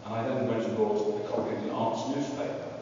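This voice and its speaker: A man, a university professor, speaking unscripted on a panel in a large meeting room, reflective and pausing to restart sentences. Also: on the low side, steady tone, mellow. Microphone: far room camera. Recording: echoey hall, distant, dull, noisy.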